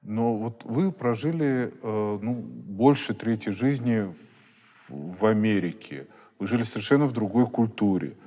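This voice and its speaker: A male interviewer with a warm, dark tone, putting his questions haltingly and reworking them mid-sentence. His voice carries a long reverb, as if spoken inside a large stone church. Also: lowish voice, expressive. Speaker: journalist, interviewer.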